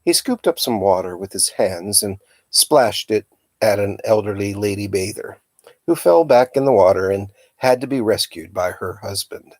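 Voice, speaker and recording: A man reading a children's novel aloud as a storyteller, with a dramatic, expressive delivery. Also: fairly low pitch, normal speed, no room sound. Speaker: storytime reader.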